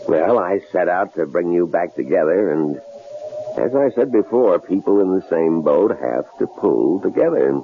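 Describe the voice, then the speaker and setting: A man acting a shrewd, self-satisfied middle-aged professor in a radio drama, with a gravelly voice and a halting, folksy delivery. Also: rumbling low, exaggerated voice. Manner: halting, repeats words. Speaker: actor. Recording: dull, noisy vintage radio drama recording.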